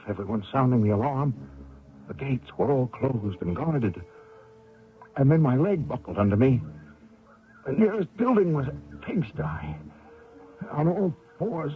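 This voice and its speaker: A man's voice: mid-range voice, expressive, a little slow, lightly acted. An actor playing a desperate, wounded American fugitive soldier who dramatically recounts his escape in old-time radio style. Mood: shaken, urgent. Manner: dramatic first-person narration with strained pleading. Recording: tinny old radio broadcast with music.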